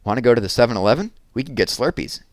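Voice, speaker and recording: A cool, casual schoolgirl from a children's storybook, acted out by a man. She sounds offhand and skeptical, with a pitch that moves around freely, in a dry recording. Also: expressive, racing, no room sound, lightly acted. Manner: offhand, laid-back. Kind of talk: children's storybook read-aloud.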